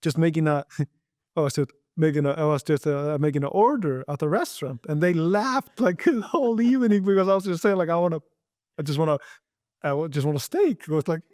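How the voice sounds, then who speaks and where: Icelandic man, halting earnest English. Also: fairly high pitch, a little mellow, no room sound, clean studio sound. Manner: earnest, halting, with many repeated words and restarts. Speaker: guest entrepreneur. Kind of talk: podcast interview.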